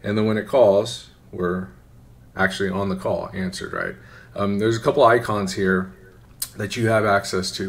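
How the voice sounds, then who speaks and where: A man giving an informal, conversational software tutorial, often checking in with a quick "right?". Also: fairly low pitch, vocal fry. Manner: conversational, instructional, often tagging phrases with "right?". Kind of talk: informal screen-share walkthrough. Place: voice-over for a screen recording.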